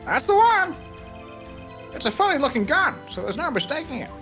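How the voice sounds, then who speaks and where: A woman's voice: high-pitched, big pitch swings, moderate pace, fairly bright tone, faint music behind, exaggerated voice. A woman voicing a blustery, folksy male police detective in a live fan dub of a video game. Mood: blustery, confident. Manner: folksy, emphatic, ends phrases with pal or sir.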